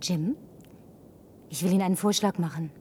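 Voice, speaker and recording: An actress playing a brash, lonely survivor in a German science-fiction radio play, speaking in a brisk, sardonic, bossy way. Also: female voice, on the low side, mellow, slightly rough, distant mic. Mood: confiding, coaxing. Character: brash, lonely woman, last woman in deserted New York.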